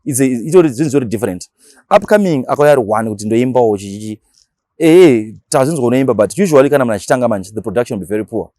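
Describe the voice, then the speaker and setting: A man's voice: mid-range voice, lively intonation, racing, dark tone, no room sound, studio quality. A man with a Zimbabwean accent, talking casually as a radio DJ being interviewed, mixing languages and repeating words for emphasis.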